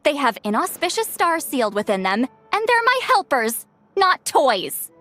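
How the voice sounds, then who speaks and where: Solemn little girl, extremely high, wildly swooping intonation, insistent, video game character. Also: sing-song, normal speed, pushed and bright, faint music behind, full character voice. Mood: insistent, protective.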